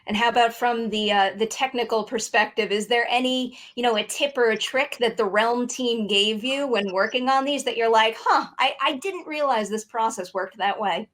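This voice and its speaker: A woman's voice: a little high, normal speed, hardly any pauses, fairly bright tone. A woman hosting a friendly interview in a chatty, upbeat way. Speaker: interview host.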